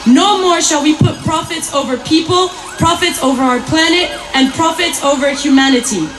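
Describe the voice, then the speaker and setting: High-pitched woman giving an impassioned rally speech. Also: normal speed, fairly bright tone, soft background music, a faint crowd. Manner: emphatic, rhetorical repetition. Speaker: activist speaker at a climate rally. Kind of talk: impassioned prepared protest speech. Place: outdoor city centre rally in rain. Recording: echoey outdoor PA with noise.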